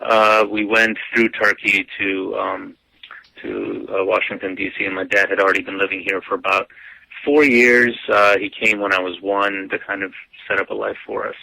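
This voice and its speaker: Easygoing young man, bright voice. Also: fairly low pitch, level tone, normal speed, gravelly, slight background noise, low-quality recording. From United States (grew up). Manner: easygoing, lots of "um" and "like" fillers. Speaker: guest. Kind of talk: casual interview answers.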